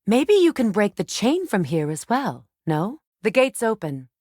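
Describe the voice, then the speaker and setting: A woman playing a solemn, compassionate, determined ancient goddess in a video game, speaking in a measured, formal, earnest way. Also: medium pitch, sing-song, darkish tone, dry recording, clean studio sound. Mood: focused, instructive. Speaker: video game character voice actor. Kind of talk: dramatic game dialogue.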